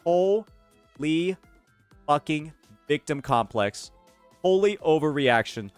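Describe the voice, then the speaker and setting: A man's voice: a little high, expressive, slow pace, smooth, no room sound, soft background music. Heated, profane male reaction streamer. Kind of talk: angry reaction commentary.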